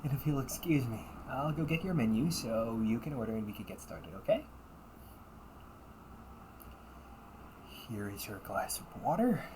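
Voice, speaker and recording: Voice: mid-range voice, big pitch swings, a little fast, lightly acted. Flustered delinquent butler-cafe waiter over background noise, acted out. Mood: formally polite. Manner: stiffly formal service politeness, slipping into muttered threats. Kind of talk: amateur audio roleplay.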